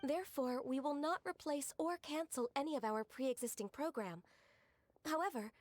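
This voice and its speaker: A dutiful young civil secretary from a video game. Her voice is high and gentle. She speaks formally, choosing her words with measured, polite care. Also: no room sound.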